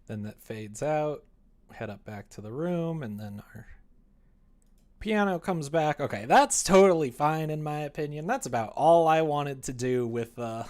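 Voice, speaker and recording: A young adult man chatting casually on a livestream as he works, muttering to himself and then growing animated. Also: mid-range voice. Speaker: livestreamer developing a visual novel. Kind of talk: casual livestream chat while working.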